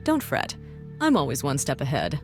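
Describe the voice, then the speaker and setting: A woman voicing a bossy, cynical female task force boss in a visual novel, brisk, dry and condescending. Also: a bass voice, wildly swooping pitch, over music, exaggerated voice. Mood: businesslike.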